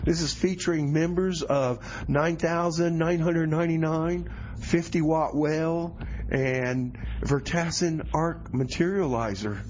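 A man's voice: a little high, fairly even intonation, takes it slowly, darkish tone. Man plays flustered slacker community-radio host, rambling and repetitive, dull lo-fi sound, some noise. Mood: upbeat. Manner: rambling, repeats himself. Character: flustered, slacker male music-show DJ. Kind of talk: community radio comedy show banter.

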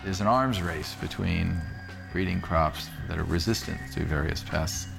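Very deep, creaky-voiced man in interview. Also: unhurried. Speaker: expert interviewee. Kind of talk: measured documentary interview. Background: music.

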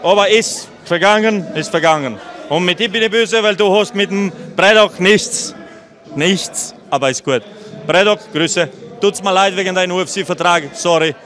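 Guy in his 30s, post-fight interview, rambly and blunt, kinda high voice, handheld mic. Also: normal speed, fairly bright tone. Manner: rambling, blunt, restarts and mixes in English. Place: event venue backdrop, indoors.

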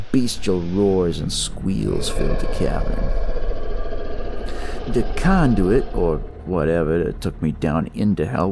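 A man's voice: low-pitched, creaky, noisy background. A man telling a first-person detective story in a colloquial, wry manner with hesitant asides.

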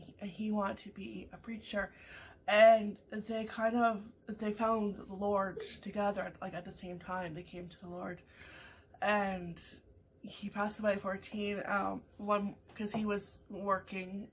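A woman's voice: mid-range voice, little pitch movement, a little slow, slight background noise, poor audio. A woman in her thirties from Canada, chatting casually to her webcam over morning coffee at home, rambling with lots of fillers and restarts. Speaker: vlogger. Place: small home room indoors.

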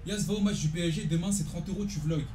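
A man's voice: high-pitched, fast, reverberant, crowd noise. A young man from France, a streamer chatting casually and in slang while he plays a football video game. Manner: slangy, informal, talking to viewers. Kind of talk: casual live gaming chat with slang. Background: football video game audio and commentary.

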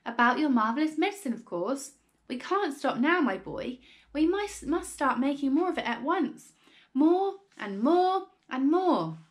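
A woman voicing an excitable, impatient father in a storybook, urgent and insistent, full of grand schemes. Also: fairly high pitch, fairly quick. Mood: frantic excitement. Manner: breathless exclamations, urgent and insistent.

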